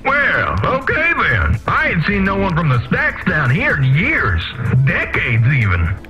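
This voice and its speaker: An actor playing a gruff, folksy wasteland outcast in a video game, blunt and rough in grammar, on slightly dull audio. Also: male voice, high-pitched, huge pitch swings, a little slow, faint music behind, exaggerated voice. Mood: grudging thanks. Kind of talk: character acting in a video game.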